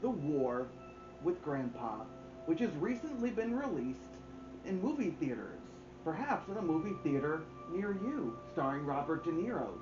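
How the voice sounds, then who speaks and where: A middle-aged man reading aloud to children as a story time host, with theatrical delivery and deliberate pauses. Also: fairly high pitch, big pitch swings, dull audio, music in the background. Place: indoors, small home room.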